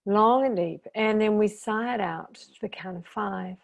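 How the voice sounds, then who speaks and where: Slow-paced woman guiding a meditation. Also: mid-range voice, gravelly. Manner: soothing, gentle, with long pauses between short phrases. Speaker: guided meditation teacher and intuitive therapist. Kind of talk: guided meditation, slow calming instruction.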